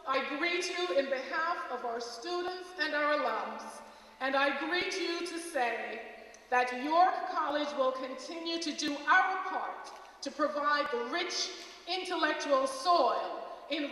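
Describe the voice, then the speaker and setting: A woman's voice: high voice, bright, forceful tone. A middle-aged woman from Jamaica, a college president, giving a stately formal welcome speech to an audience. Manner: measured, stately address. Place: large auditorium. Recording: echoey hall recording from far off.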